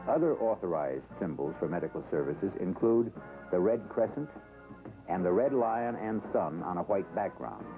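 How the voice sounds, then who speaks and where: An American man reading scripted training film narration in a formal, measured, solemn manner. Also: deep voice, expressive, warm tone, rough recording. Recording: 1970s film soundtrack with music. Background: background music.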